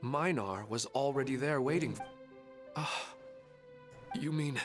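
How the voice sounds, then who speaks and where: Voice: mid-range voice, slow, soft background music, lightly acted. An actor playing a bewildered, tender and anxious parent in a video game. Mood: bewildered, worried.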